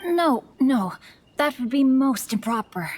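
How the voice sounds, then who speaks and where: A woman's voice: high voice, lively intonation, a little slow, darkish tone, a little hiss or hum. A woman voicing a refined, earnest teenage boy author in an English video game, with formal, genteel manners. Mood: formal, flustered.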